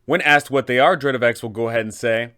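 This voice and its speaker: Man, medium pitch, crisp resonant voice, casual emphatic delivery, tabletop game explainer host. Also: fast, bright, forceful tone. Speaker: host, game master advising other game masters.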